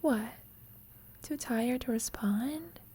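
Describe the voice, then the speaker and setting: Actress for affectionate, teasing young woman roommate with a crush; soft whispery ASMR delivery, highish pitch, unhurried and gentle. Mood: soft, cozy. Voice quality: soft, breathy, often whispered. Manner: playful, tender, slow and gentle. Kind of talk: ASMR roleplay, intimate sleep-aid audio.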